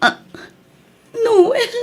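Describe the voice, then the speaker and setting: A woman's voice: drawn-out words, a little mellow. A woman with an Ilocano Filipino accent playing a long-suffering, submissive young wife in an audio drama. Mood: sobbing, pleading.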